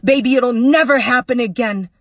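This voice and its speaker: A woman's voice: fairly high pitch, normal speed, dry recording, voice pushed up, natural voice. Abusive husband begging forgiveness, as a woman puts him on; raised, strained, pleading, dry close sound.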